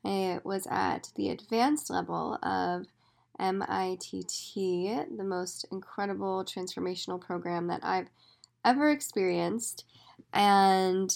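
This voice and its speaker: A woman hosting a solo astrology podcast, her voice raspy and hoarse, speaking in an enthusiastic, effusive way. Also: medium pitch, fairly even intonation, takes it slowly, a little mellow. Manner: enthusiastic, effusive, talks in spiritual terms. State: hoarse after days of yelling. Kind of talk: casual solo podcast monologue.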